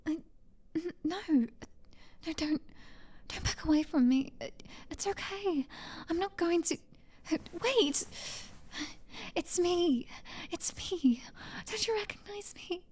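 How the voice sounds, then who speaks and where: A woman with a British accent playing a young, clingy, devoted vampire sister in an ASMR roleplay, speaking in a soft, breathy, halting whisper. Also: very high-pitched, expressive, exaggerated voice. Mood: hurt, pleading. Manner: halting, tender, pleading.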